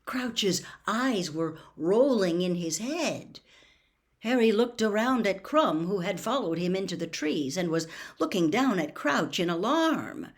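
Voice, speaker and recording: A woman's voice: medium pitch, lively intonation, unhurried. Woman reading a storybook aloud. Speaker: audiobook reader. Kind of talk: scripted storybook narration.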